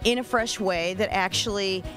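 A woman's voice: mid-range voice, normal speed. A woman giving a promotional video testimonial with music playing behind her.

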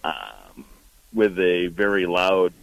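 A young man calling a radio show from a car by the roadside, speaking haltingly with many fillers. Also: deep voice, expressive, gravelly, dry recording, dull audio. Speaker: caller. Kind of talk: phone-in call.

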